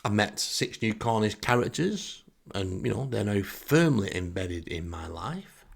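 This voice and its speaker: A man's voice: lowish voice, animated, unhurried, creaky, breathy edge. A man with a Northern English accent telling comic first-person stories as a podcast host, with a wry, sarcastic manner. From northern England.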